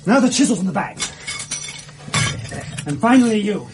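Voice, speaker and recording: An actor playing an urgent criminal who snaps out hurried orders to an accomplice in a radio drama. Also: male voice, sits high, animated. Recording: noisy, somewhat distant.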